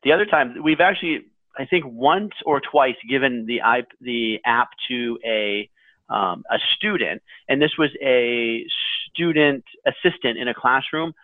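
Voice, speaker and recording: Loud-voiced man on a tinny line. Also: mid-range voice, unhurried, dry recording. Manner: loud, carrying voice; rambling with restarts. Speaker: podcast co-host. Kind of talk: casual chat.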